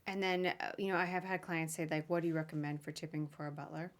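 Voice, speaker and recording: A woman's voice: low-pitched, flat delivery, fast talker. A woman hosting a podcast, interviewing a guest in an informal, chatty, conversational way. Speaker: podcast host.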